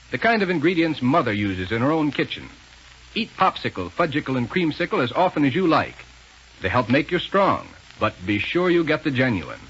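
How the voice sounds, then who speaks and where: A man's voice: on the high side, fairly bright tone. Hearty male announcer, muffled old radio. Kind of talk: 1930s scripted commercial and story narration. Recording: muffled, hissy 1930s radio recording.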